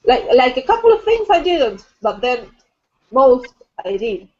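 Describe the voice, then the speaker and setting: A woman's voice: high voice, big pitch swings, measured pace, a little mellow, dull audio. A woman co-hosting a casual, informal book chat, often repeating words as she talks, on livestream audio. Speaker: co-host of a book discussion livestream.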